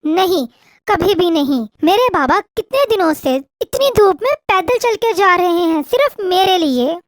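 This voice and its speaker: A woman's voice: very high voice, no echo, studio quality. A woman voicing an anxious, childlike young female sparrow in a Hindi moral cartoon, with a pleading manner.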